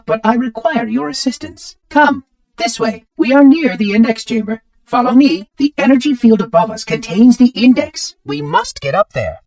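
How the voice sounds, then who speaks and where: A man's voice: very high voice, clear, resonant tone, dry recording, full character voice. A man voicing a chipper, fussy male robot caretaker in a video game, speaking in a polite, formal, pedantic way, heard as distant game audio. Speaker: game character.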